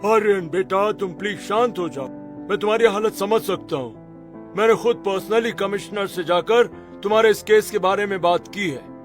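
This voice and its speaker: A man playing a senior politician in a cartoon, calming and paternal in his manner. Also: sits high, moderate pace, over music, lightly acted. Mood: placating. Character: placating senior politician, a chief minister.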